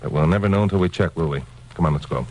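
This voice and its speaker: A man's voice: sits low, racing, heavy background noise, natural voice. A man playing a cocky, wisecracking American newsman sleuth in an old-time radio detective drama, breezy and slangy in a hard-boiled style. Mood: wry narration.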